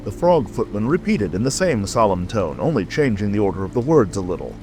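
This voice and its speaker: Low-pitched, expressive male storyteller. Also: brisk, faint music behind. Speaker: audiobook narrator. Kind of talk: scripted storybook narration.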